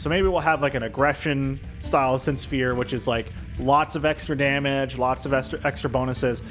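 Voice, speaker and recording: A man's voice: mid-range voice, a bit bright. A man giving casual video game commentary, thinking aloud as he weighs his options. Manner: thinking aloud, indecisive musing. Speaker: video game streamer doing a let's play. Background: video game music.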